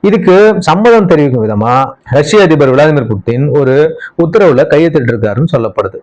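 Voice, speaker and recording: A man's voice: a little high, lively intonation, a little fast, a little mellow, rough recording. A man presenting explanatory news commentary, talking conversationally and laying things out point by point. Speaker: news presenter on a geopolitics channel.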